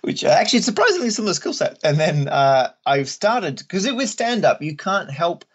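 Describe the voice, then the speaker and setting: A man's voice: high-pitched, big pitch swings, moderate pace, breathy edge, lo-fi sound. A man with an Australian accent giving conversational, wry and jokey answers as an interview guest. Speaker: guest, stand-up comedian and mathematician.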